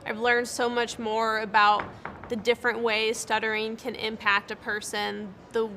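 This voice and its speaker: A woman's voice: a little high, completely flat delivery, a little slow, pushed and bright, slight background noise. A young American woman who stutters, with repeated words and cut-off sounds, giving earnest, encouraging interview answers. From United States. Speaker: interviewee, speech-language pathologist.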